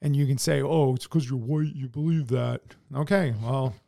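A man's voice: mid-range voice, normal speed, gravelly, warm tone. Blunt, swearing American man. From United States. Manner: blunt, heavy swearing, many restarts. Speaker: podcast co-host. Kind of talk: casual opinion chat.

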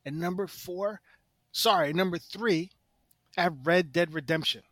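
A man's voice: high voice, measured pace, fairly bright tone, no room sound, studio quality. A man chatting casually on a panel podcast, laying out points in emphatic, repeated phrases. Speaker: podcast contestant presenting a ranked list.

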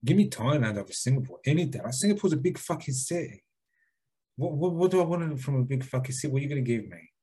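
Man, podcast co-host, casual chat, husky voice, rambling and hedging, curses a lot. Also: medium pitch, animated, fast talker, mellow. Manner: rambling, hedging, swears freely. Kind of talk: casual chat, reading a listener email.

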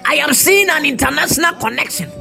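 A man preaching and prophesying, fervent and repetitive, his voice hoarse and partly lost. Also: extremely high pitch, expressive, quick pace, music in the background. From Ghana. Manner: fervent, repetitive, shouted declarations. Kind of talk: prophetic sermon with prayer.

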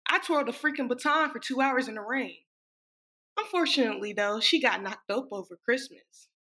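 A woman's voice: a little high, expressive, brisk, pushed and bright. A woman playing a brash, wisecracking young dancer from a small town in a sassy, sardonic stage monologue. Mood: sardonic, indignant. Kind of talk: dramatic stage monologue reading.

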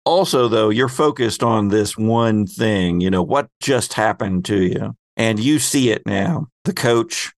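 A man's voice: fairly low pitch, lively intonation, measured pace, few pauses, dry recording. A man working as game master, narrating a tabletop roleplaying game in a dramatic storytelling style.

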